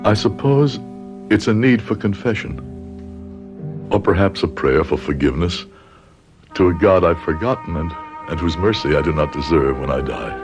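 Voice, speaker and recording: A man acting in a radio drama as an aging, guilt-ridden psychology professor, grave and deliberate, with formal wording. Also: low voice, lively intonation, over music. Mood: grave remorse. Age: older.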